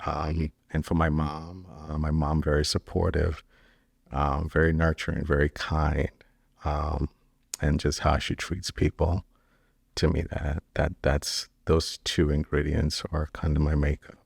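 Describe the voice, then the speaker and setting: A middle-aged man from Kenya, a business founder answering interview questions in a relaxed, rambling way with many fillers. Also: a bass voice, a little slow, gravelly, breathy edge, no echo, clean studio sound.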